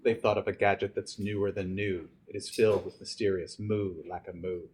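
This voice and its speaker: A man's voice: deep voice, level tone, moderate pace, a little mellow, slightly rough, pitched below the performer's own voice. A man voicing a pompous, reassuring chief who grandly gives orders in a children's storybook. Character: pompous, reassuring male chief sending a soldier to war. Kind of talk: children's storybook read aloud.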